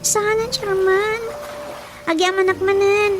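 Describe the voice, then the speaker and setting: A woman's voice: very high-pitched, level tone, takes it slowly, background noise. A woman with an Ilocano Filipino accent playing a polite, coy, mysterious night visitor in a radio drama. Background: music.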